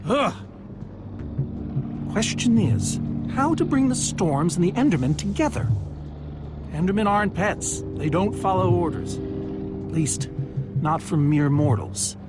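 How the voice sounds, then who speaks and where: High-strung game sage, exasperated, grandiose, slow delivery, swinging pitch, loud music. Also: male voice, lively intonation. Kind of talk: video game voice acting.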